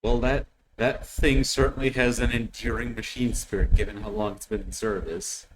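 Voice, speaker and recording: A man hosting a reaction video with casual commentary, speaking in a hesitant, halting way and often repeating words. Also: mid-range voice, fairly even intonation, slight background noise, low-quality recording.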